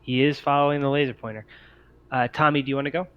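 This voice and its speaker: A man acts as game master for a tabletop roleplaying session. His voice sits at a middle pitch and he talks casually to the players. The audio is a little dull, like an online voice call. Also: fairly even intonation, fast talker, clean tone.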